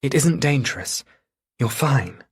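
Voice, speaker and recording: A man's voice: mid-range voice, animated, unhurried, husky, voice pushed up, exaggerated voice. A man voicing a worried, soothing mother in an audiobook.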